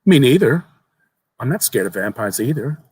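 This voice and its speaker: A man's voice: mid-range voice, sing-song, moderate pace, darkish tone, breathy edge. Man voicing an eerie, precocious little boy. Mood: matter-of-fact, insistent. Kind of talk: dramatic reading of a horror short story.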